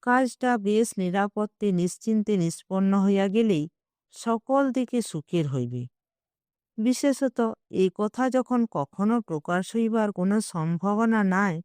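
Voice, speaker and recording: A woman voicing a wry, coaxing old Bengali gentleman, a self-justifying matchmaker in an audio storybook, speaking deliberately. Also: mid-range voice, clean tone, no room sound, studio quality, lightly acted.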